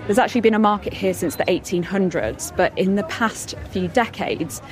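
A woman's voice: mid-range voice, moderate pace, some chatter behind. A woman with a British accent reporting on location for a news programme, mixing narration with short interviews. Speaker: senior producer reporting on location.

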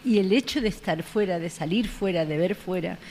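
Low-voiced woman reflecting thoughtfully. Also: moderate pace, darkish tone, a little hiss or hum. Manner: reflective, repeats with tag questions. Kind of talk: casual conversation.

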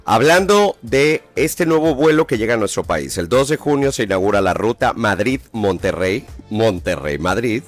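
Travel host guy, low voice, upbeat, light music under. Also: lively intonation, normal speed, hardly any pauses. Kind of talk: radio interview.

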